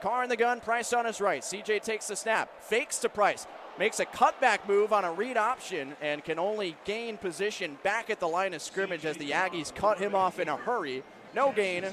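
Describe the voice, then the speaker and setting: High-pitched American football play-by-play man. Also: moderate pace. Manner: crisp, descriptive, building to excited calls. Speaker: radio play-by-play announcer. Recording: clear stadium noise behind broadcast commentary. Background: outdoor stadium ambience.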